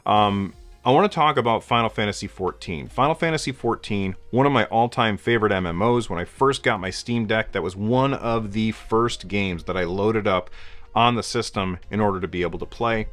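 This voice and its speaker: A man in his forties, hoarse and stuffed up from a cold, hosting a gaming news show in a chatty way with many fillers. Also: fairly low pitch, normal speed, a bit bright. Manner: gestures with his hands while talking. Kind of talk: casual video commentary. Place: home game room lit with colored lights.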